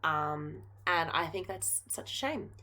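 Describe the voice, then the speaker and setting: A woman's voice: low-pitched, lively intonation, slow pace, sharp tone. An Australian woman, a knitting podcast host and geography teacher, chatting earnestly to camera with lots of ums and likes. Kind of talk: casual chat to camera.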